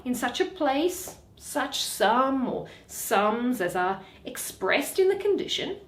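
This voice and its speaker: Woman playing a bitter, sardonic moneylender, theatrically drawn-out. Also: sits high, big pitch swings, exaggerated voice. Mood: slyly friendly. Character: Shylock, bitter, sardonic, wronged older male moneylender. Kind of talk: dramatic Shakespeare reading. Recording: roomy, echoing.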